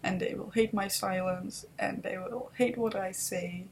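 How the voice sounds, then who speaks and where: A young woman with a Dutch accent talks to her webcam in a soft, unsure voice, slowly feeling her way through each thought. Her tone has a gravelly fry at the edges. A faint hiss sits under the webcam sound. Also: mid-range voice, fairly even intonation. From Netherlands. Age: 20s. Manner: soft-spoken, hesitant, self-doubting. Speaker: vlogger. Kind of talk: unscripted confessional vlog.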